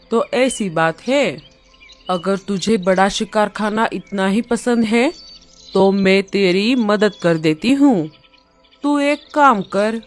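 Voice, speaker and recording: A woman's voice: medium pitch, natural voice. A woman voicing a shrewd, motherly old female bird in a cartoon, reassuring and full of instructions. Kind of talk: cartoon dialogue. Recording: music under the voice.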